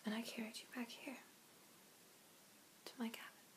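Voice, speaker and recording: A young woman playing a gentle, apologetic vampire in an ASMR roleplay, whispering slowly and deliberately with pauses. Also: on the high side, little pitch movement, close to an everyday voice, low-quality recording. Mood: solemn, intimate. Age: young adult. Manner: slow, hushed, deliberate, with pauses. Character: gentle, apologetic female vampire rescuer, ancient but youthful. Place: small room indoors. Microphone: phone or webcam at arm's length.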